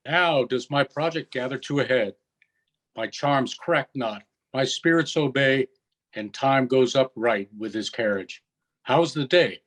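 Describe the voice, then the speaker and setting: A man acts out a commanding old sorcerer in a stage play, reading verse at a slow, measured pace. He declaims sternly, with room for mercy, in a mid-pitched voice. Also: fairly bright tone. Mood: commanding, expectant.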